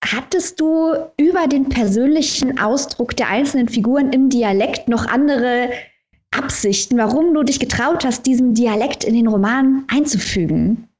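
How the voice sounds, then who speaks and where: Measured woman moderating a book talk. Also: high-pitched, darkish tone. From Saarland, Germany.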